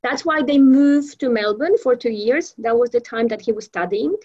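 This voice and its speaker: A woman's voice: on the high side, normal speed, mellow, dull audio. A woman from Venezuela whose first language is Spanish, a professional trainer being interviewed, talking with many fillers and restarts. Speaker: guest, professional trainer and mentor. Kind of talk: interview conversation.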